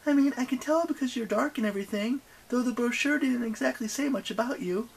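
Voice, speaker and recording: Narrator as a high-pitched, breezy teenage girl. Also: fairly quick, creaky, husky, low-quality recording. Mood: feigned ignorance. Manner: breezy, casual, falsely innocent. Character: teenage demigod girl, chatty, bluffing, breezily cheeky.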